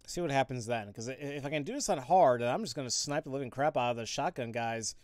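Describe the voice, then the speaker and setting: A man's voice: mid-range voice, fast talker, dry recording. A young man streaming a game, chatting casually with viewers, rambling with many restarts and blunt opinions. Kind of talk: casual game commentary with chat replies. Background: game music and sound effects.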